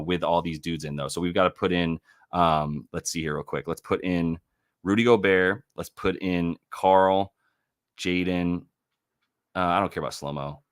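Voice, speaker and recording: A man's voice: low-pitched, fairly bright tone. A young man hosting a casual sports betting livestream, thinking aloud and correcting himself as he works through numbers. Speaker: sports betting show host.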